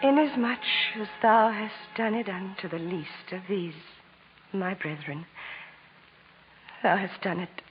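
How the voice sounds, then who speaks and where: A woman playing a gentle maiden reciting scripture solemnly in a 1940s radio drama. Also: medium pitch, faint music behind, lightly acted. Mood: reverent, hushed. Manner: slow, solemn, scriptural delivery. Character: gentle maiden reverently repeating a heavenly voice's words. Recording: muffled vintage 1940s radio recording.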